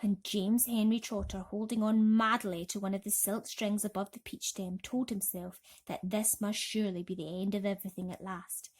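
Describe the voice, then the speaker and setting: A woman storyteller reading a children's bedtime story dramatically and expressively, with emphatic pauses and swells. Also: mid-range voice, steady tone, a little mellow.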